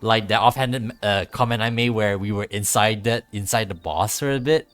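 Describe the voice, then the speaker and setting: Casual male game streamer, music behind. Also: medium pitch. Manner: hesitant, with repeated filler "like". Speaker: livestreamer.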